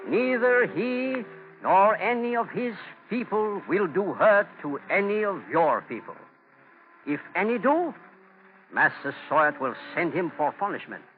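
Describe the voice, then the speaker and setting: An actor playing a dignified, compassionate Native man, speaking earnestly in measured, formal words, in 1940s radio drama style. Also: sits high, big pitch swings, soft background music. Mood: earnest, friendly. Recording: tinny, noisy 1940 radio recording.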